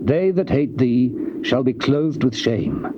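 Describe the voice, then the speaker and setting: A stern, moralizing counsellor in a classical Bible drama. He admonishes solemnly in a measured, mid-pitched voice. The old cassette sound is dull and heavily covered in noise. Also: animated. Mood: solemn admonishing. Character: Bildad, stern moralizing counsellor of the righteous sufferer. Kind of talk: dramatized Bible reading, theatrical classical delivery. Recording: muffled, very hissy old cassette tape transfer.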